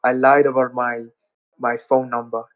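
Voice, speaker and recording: A man talks in a steady, flat tone, earnestly walking through his points one at a time. His voice sounds dry and close, with little room around it, and sits in a middle register. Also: mid-range voice, moderate pace, no room sound, muffled recording. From Zimbabwe. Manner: earnest, explaining step by step. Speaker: audience speaker. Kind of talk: casual live audio chat.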